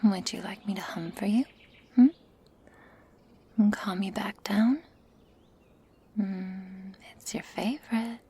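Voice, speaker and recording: Slow, husky whispering sadistic werewolf woman, acted out. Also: medium pitch, fairly even intonation, dark tone, exaggerated voice. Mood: soothing, tender. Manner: slow, taunting, deliberate delivery with sighs. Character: sadistic female werewolf, menacing, mockingly tender captor. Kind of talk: whispered ASMR roleplay. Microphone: close ASMR microphone.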